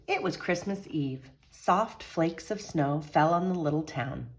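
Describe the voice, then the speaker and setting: A woman storyteller with a low-pitched voice. She reads a children's picture book aloud expressively, in a teacherly manner. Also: measured pace.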